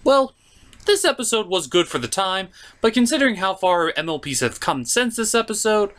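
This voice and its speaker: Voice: medium pitch, big pitch swings, normal speed, fairly bright tone. A host of a puppet review show narrating a scripted comic episode recap in a theatrical, mock-dramatic manner.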